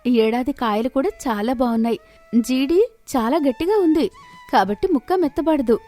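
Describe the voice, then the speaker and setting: A woman's voice: sits high, normal speed, mellow, soft background music, pitched above the performer's own voice, natural voice. A woman voicing a cheerful, respectful young village daughter-in-law in a moral story, speaking politely and appreciatively. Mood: cheerful, eager. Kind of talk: animated moral story voice acting.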